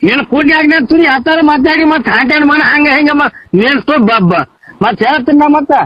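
A man's voice: extremely high pitch, a little fast, dry recording. A man with a North Karnataka accent arguing on a phone call, stammering and repeating himself. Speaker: prank call participant. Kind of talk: heated phone argument in village dialect. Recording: dull lo-fi phone call recording.

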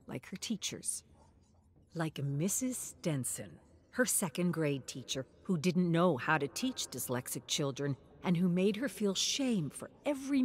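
Low-pitched woman narrating a dramatic story. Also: darkish tone. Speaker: narrator reading game lore.

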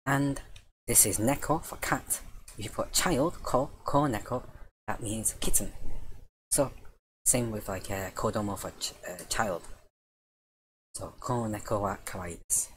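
A man's voice: fairly low pitch, measured pace, long pauses, fairly bright tone, a little hiss or hum, low-quality recording. A man teaching a casual vocabulary lesson over his screen, voice dry and tiring, easygoing and correcting himself as he goes. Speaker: Japanese language teacher.

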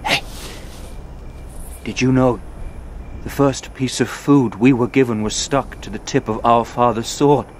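An actor playing a knight's eager, dogged younger brother in a historical radio drama. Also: male voice, medium pitch, measured pace, long pauses, a little hiss or hum, lightly acted. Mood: nervous, wry.

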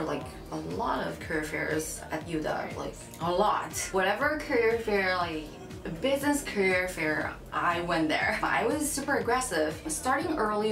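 A young woman from China, speaking English as a second language, answering interview questions in a frank, chatty way at a table. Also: sits low. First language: Chinese. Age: young adult. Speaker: interviewee, UX designer. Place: bright room, seated at a table. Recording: echoey room with music under.

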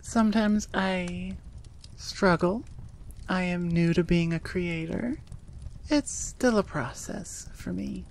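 A woman's voice: fairly low pitch, slow pace, vocal fry, darkish tone, slight background noise. A woman audio creator talking casually and personally to her listeners, in an earnest, rambling way.

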